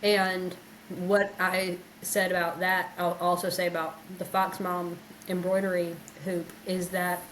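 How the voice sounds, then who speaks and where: A woman with a bright, crisp-edged voice chats casually as a podcast co-host. She takes her time, speaking slowly, with a little noise in the background. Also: fairly low pitch, flat delivery, rough recording. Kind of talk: casual chat.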